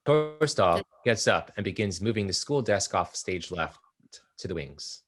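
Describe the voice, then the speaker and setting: A man reading stage directions aloud at a play table read, plain and steady. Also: low-pitched, measured pace, breathy edge.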